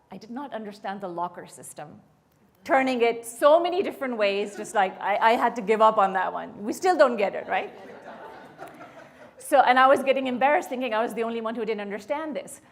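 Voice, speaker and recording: Woman in her 50s telling her life story to a crowd, lively, keeps asking them questions. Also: fairly high pitch, normal speed. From Bangladesh. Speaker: guest speaker. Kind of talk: personal story told to an audience.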